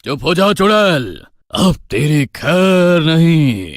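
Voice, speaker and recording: A man acts a stern village tantric in an animated horror tale. He is grave, resolute and commanding, with a widely moving pitch and a dry, close sound. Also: slow, no echo. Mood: stern, threatening. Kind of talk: animated horror story voice acting.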